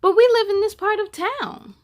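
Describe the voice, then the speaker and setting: A woman's voice: very high-pitched, moderate pace, voice pushed up. A woman storyteller voicing a determined, indignant aunt in a children's picture book.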